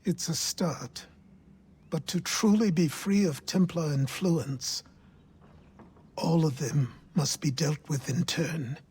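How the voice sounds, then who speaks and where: An actor playing a stern, weary old mentor in a video game, raspy and deliberately admonishing. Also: male voice, fairly high pitch, a little mellow, heavily acted. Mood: grave counsel. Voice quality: raspy, husky. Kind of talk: video game cutscene acting.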